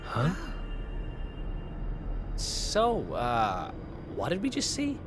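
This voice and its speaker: A man's voice: mid-range voice, huge pitch swings, very slow, music in the background, heavily acted. A man playing a bold, flippant young adventurer in a video game cutscene. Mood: bewildered.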